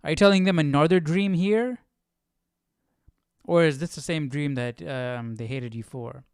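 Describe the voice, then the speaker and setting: A man's voice: on the high side, measured pace, clear, resonant tone, no echo, studio quality. A man hosting a livestreamed study, talking casually and questioning as he muses aloud to himself. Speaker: host of a Bible study livestream.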